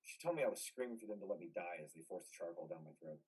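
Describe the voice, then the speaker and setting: An actor playing a traumatized, bitter and weary combat veteran in a modern stage play reading, with a husky voice. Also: male voice, low voice, animated, rapid-fire, a little mellow, heavily acted, low-quality recording. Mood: ashamed, low.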